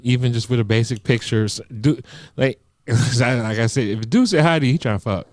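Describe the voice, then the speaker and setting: A man's voice: medium pitch, brisk, a little mellow, dry recording, clean studio sound. A middle-aged man co-hosting a podcast, chatting casually and joking around. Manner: joking, acts out voices in bits. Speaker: podcast co-host.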